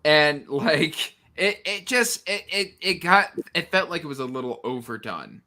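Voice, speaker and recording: Male panelist, higher-pitched and bright, emphatic and stammering, casual book chat over video call. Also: big pitch swings, unhurried. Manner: stammering restarts, exasperated emphasis, repeats words for effect. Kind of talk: casual book discussion on a live show.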